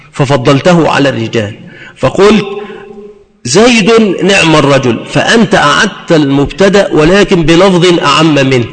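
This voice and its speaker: Male instructor, somewhat high voice, didactic and repetitive lecture delivery, recorded with long hall reverb. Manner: didactic, repeats phrases for emphasis. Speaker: Arabic grammar teacher. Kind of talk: lecture explaining grammar with examples.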